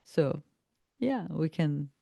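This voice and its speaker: A woman's voice: low voice, lively intonation, slow, gravelly, warm tone, no room sound. A reflective woman explaining ideas. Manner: reflective and explanatory, laughs easily. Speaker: podcast host and trainer. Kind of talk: reflective conversation.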